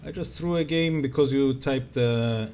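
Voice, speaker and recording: A man's voice: medium pitch, a little slow, a little mellow, slight background noise. A man streaming live, joking with chat and talking through his moves as he plays. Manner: joking, thinking aloud while playing. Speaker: game streamer. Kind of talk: live gameplay commentary.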